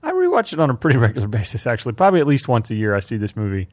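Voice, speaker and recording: A man's voice: medium pitch, wildly swooping pitch, very fast. A man of about forty, an enthusiastic podcast host talking about pop culture. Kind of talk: scripted narration and casual chat about pop culture.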